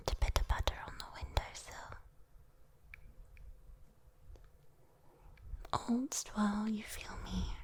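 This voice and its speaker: A British woman playing a sweet, teasing, possessive female demon in a breathy, intimate ASMR roleplay whisper. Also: mid-range voice, natural voice. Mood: soothing, playful.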